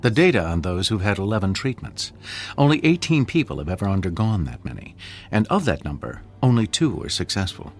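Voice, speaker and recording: A cold, imperious male government minister in a radio play. He speaks low, with broad, lively inflection and a formal, pressing manner. Faint music lies behind. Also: brisk. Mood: cold, probing. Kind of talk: radio drama acting.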